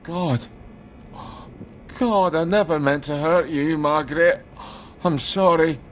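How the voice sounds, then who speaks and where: A man's voice: a little high, slow, a little hiss or hum. An actor playing a guilt-haunted Scottish man, blunt, colloquial and emotional. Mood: remorseful.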